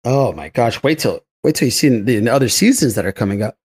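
A man's voice: medium pitch, expressive, quick pace, darkish tone, breathy edge. An enthusiastic man hosting a casual podcast chat, repeating himself for emphasis. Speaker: podcast host.

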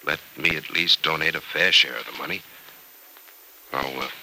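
A man's voice: rumbling low, moderate pace, creaky, bright, forceful tone, exaggerated voice. An actor in an old-time radio soap opera playing a sober, caring American man, thoughtful and hesitant yet resolute about helping his friend. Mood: insistent, generous. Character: grave, caring male friend, resolute and self-sacrificing. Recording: noisy vintage radio drama recording.

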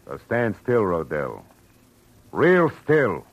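An actor playing a tough, wisecracking American private detective in a vintage radio drama, with a clipped, sardonic delivery. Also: male voice, on the low side, wildly swooping pitch, slow, dull audio, full character voice. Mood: tough, menacing. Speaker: lead actor and narrator. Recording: old radio recording with music behind.

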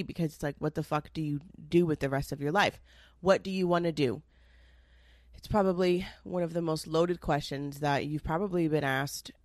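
A young woman with a lowish voice talks straight to her listeners in a loose, rambling podcast chat, wandering into asides and dropping the odd swear word. She sounds slightly nasal and stuffed up from a cold. The sound is close and dry. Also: no echo. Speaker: podcast host. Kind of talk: casual solo podcast chat.

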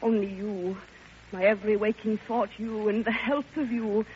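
An actress playing a grieving, lovelorn older woman in a classic radio drama, speaking in a halting, theatrical and impassioned way. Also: medium pitch, fairly even intonation, a little mellow, a little hiss or hum, lightly acted. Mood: anguished confession. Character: grieving, lovelorn old woman pouring out her heart. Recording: muffled vintage radio recording with music.

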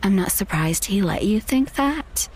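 A woman's voice: medium pitch, lively intonation, measured pace, darkish tone, slightly rough. A woman playing a cold, condescending ex-fiancée. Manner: condescending, dismissive. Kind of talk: audio drama acting.

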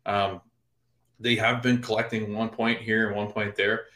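Lower male podcast co-host, roomy sound; casual soccer analysis, hedges with lots of "I think" and "um". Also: flat delivery, moderate pace, a bit bright. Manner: hedging, many fillers and restarts. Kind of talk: casual sports chat.

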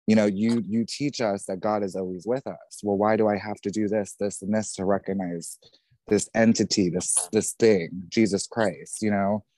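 A young man with a New Jersey accent tells his own story on a podcast, loud, outspoken and swearing freely. His voice sits a little low and sounds mellow and warm. The sound is close and dry, with no room around it. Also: steady tone, moderate pace, no echo. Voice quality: says he has a feminine voice. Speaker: podcast guest. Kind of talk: candid personal storytelling.

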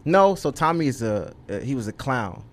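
A man's voice: mid-range voice, sing-song, moderate pace, slight background noise. A young man from California, a guest on a podcast, telling his story in an earnest, rambling way full of ums and you knows. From California, USA. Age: young adult. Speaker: rapper, guest. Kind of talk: casual interview chat.

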